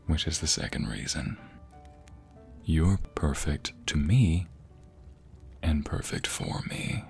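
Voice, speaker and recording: A man playing a doting, tender husband in an intimate ASMR roleplay, speaking softly and often slipping into breathy whispers. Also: extremely low pitch, sing-song, slow pace, faint music behind, full character voice. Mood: earnest, tender. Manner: soft, breathy, lingering delivery dropping into whispers. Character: doting, tender husband comforting his sweetheart.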